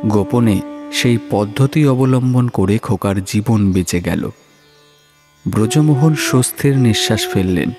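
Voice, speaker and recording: Slow, low-voiced male horror narrator. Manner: dramatic, measured, suspenseful. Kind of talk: scripted horror story narration. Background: music.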